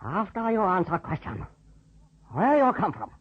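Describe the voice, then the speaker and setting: An actor playing a harsh, suspicious interrogator speaking curt broken English in an old radio drama. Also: male voice, high-pitched, sing-song, moderate pace, slightly rough, exaggerated voice. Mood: accusing. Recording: muffled old radio, loud noise.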